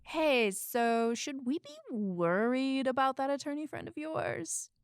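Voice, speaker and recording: A woman's voice: sits high, expressive, slow pace, smooth, no echo, studio quality, heavily acted. An actress playing a blunt, flippant woman on the run in an audio drama, with a breezy, sardonic, casual delivery. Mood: curious, casual.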